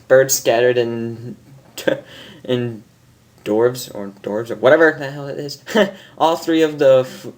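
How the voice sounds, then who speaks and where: A man reading fan fiction aloud in an informal, dramatic narration, amused and stumbling over his words. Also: medium pitch.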